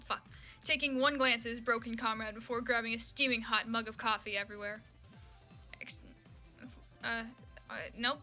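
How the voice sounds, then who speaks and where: A woman's voice: fairly high pitch, bright, forceful tone. A woman livestreaming fan fiction readings in a casual, sweary, self-mocking way. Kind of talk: casual livestream chat and comic read-aloud.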